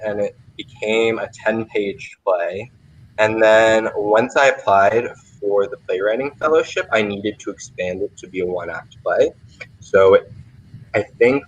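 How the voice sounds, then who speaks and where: A man's voice: fairly low pitch, husky, muffled recording. A young man hosting a casual livestream Q&A as a playwright, thinking aloud with lots of "like" and "kind of". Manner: informal, thinking aloud, often saying "like" and "kind of". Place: remote video livestream.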